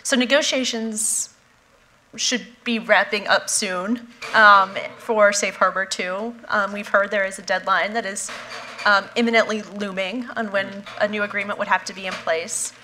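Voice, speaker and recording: A woman in her thirties moderating an onstage conference conversation, asking measured questions with frequent ums. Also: mid-range voice, steady tone, bright, forceful tone, a little hiss or hum. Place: conference stage before an audience.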